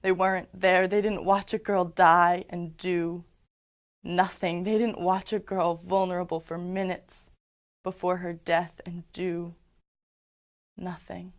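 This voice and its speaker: A young woman in her late teens performing a dramatic monologue as a guilt-ridden, haunted teenage survivor, halting and intense. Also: on the low side, flat delivery. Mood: anguished, bitter.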